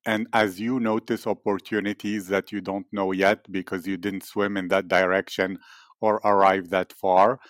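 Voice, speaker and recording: A man with a lowish voice conducts an interview and asks long, carefully built questions in a formal, probing way. The recording is dry, with no room sound around him. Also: no echo.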